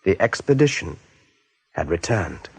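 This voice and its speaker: An actor narrating an animal adventure for a radio play in a measured, suspenseful storytelling manner. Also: male voice, sits low, sing-song, gravelly, a little mellow, breathy edge, heavily acted. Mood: grave.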